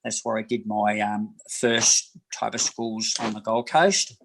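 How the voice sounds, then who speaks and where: A man in his sixties from New Zealand, a massage therapist telling his life story in an interview, rambling and halting with many fillers and restarts. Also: on the low side, level tone, gravelly, fairly bright tone, a little hiss or hum. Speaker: guest, massage therapist and personal trainer. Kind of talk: casual interview talk.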